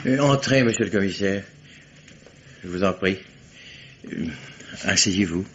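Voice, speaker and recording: An actor playing a fussy, eloquent lawyer under suspicion who speaks in elaborate, slightly pompous phrases with hesitations. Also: male voice, sits low, unhurried, background noise. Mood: defensive. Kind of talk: 1950s radio drama acting. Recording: dull lo-fi archive radio recording.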